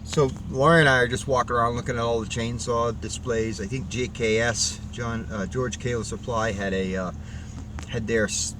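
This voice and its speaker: A man's voice: mid-range voice, fairly even intonation, moderate pace. A man in his fifties, a logger, chatting casually to the camera from inside his pickup truck, rambling and folksy with lots of fillers and restarts. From Rhode Island. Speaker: logger and vlogger. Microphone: dashboard camera in front of him. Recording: distant car mic with road and outdoor noise.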